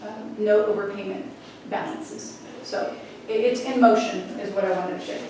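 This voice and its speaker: A woman giving an informal, somewhat halting update to a board at a meeting. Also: medium pitch, fairly even intonation, mellow. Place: indoor public board meeting room. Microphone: distant room microphone. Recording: very echoey room, distant mic, noisy.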